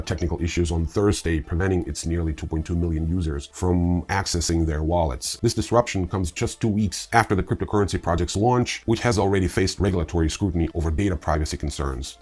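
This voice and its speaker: Male newsreel host, very low husky register, halting read with dry informal asides. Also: a little mellow. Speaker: news host. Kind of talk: scripted crypto newsreel reading with informal asides.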